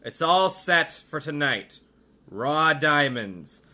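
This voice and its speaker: A man's voice: fairly high pitch, sing-song, takes it slowly, sharp tone, exaggerated voice. A man playing a domineering criminal doctor, theatrical and menacing, in a radio mystery. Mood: scornful, commanding.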